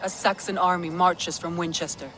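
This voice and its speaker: A woman's voice: on the low side, little pitch movement, normal speed, fairly bright tone, slight background noise, close to an everyday voice. An actress playing a grave, brooding female Viking jarl in a video game cutscene. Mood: urgent.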